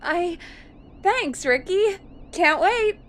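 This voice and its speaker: A woman's voice: very high voice, animated, extremely slow, a bit bright, heavily acted. Dry, bossy female radio producer. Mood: flat, polite. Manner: dry, teasing. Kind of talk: video game voice acting.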